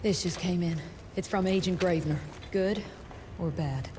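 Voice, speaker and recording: A woman's voice: lowish voice, moderate pace, noisy background, natural voice. A woman playing a formal clipped scientist. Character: cool, formal female field scientist, adult. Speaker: doctor, head of the cell. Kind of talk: game cutscene voice acting.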